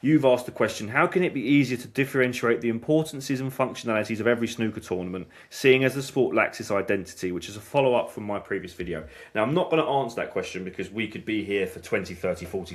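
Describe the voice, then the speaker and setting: Guy hosting a snooker chat, kinda low voice, lots of stops and restarts. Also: quick pace, hardly any pauses. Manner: hesitant, many restarts and fillers. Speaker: snooker channel host. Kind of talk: casual podcast chat.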